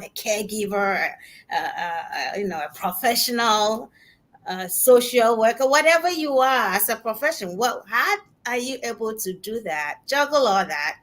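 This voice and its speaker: A woman from Ghana hosting a casual online panel discussion, talking in a relaxed conversational way. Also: medium pitch. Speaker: host.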